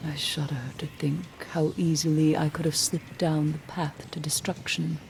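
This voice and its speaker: A woman's voice: low-pitched, level tone, vocal fry, darkish tone, slight background noise. An actress playing a wise, composed female mentor in a video game, speaking in a solemn, cautionary way. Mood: solemn warning. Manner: measured, solemn. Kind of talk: video game narration, acted.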